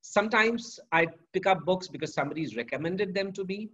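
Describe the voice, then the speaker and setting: Guy, middle-aged, husky, kinda high voice, talks slow and careful like a prof, muffled lo-fi audio. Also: animated, a bit bright. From India. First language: Sanskrit. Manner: measured, storytelling, articulate. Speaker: guest, professor. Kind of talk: reflective interview answers. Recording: dull lo-fi audio.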